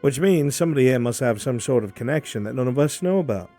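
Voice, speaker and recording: Shrewd fantasy informant, played by a man in live roleplay: formal, deliberate, dark warm tone, music underneath. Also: mid-range voice, expressive, lightly acted. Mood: pondering, suspicious. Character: measured, shrewd male informant in fantasy court intrigue. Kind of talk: improvised fantasy roleplay dialogue. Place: online VR voice chat.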